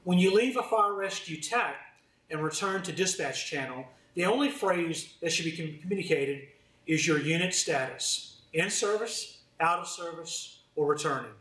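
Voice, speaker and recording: A man's voice: fairly high pitch, moderate pace, fairly bright tone. A man giving a formal, scripted training presentation as a fire department instructor. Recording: roomy, distant mic, faint noise.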